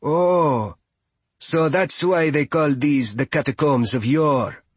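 A polite young woman adventurer from a fantasy storybook, played by a man. The pitch is lifted and the delivery is unhurried. She sounds earnest and apologetic. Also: breathy edge, no room sound. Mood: polite curiosity.

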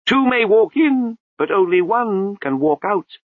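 An actor playing an ancient male abbot in the body of a babbling toddler, squeaky and imperious. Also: animated, unhurried, no echo, narrow, tinny audio. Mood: grave pronouncing.

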